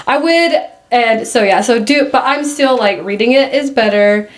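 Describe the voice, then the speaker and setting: A woman's voice: fairly high pitch, moderate pace. A young woman with a Pennsylvania Dutch accent, co-hosting a casual podcast chat and rambling through her thoughts with many restarts. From Pennsylvania Dutch community, US. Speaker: podcast co-host.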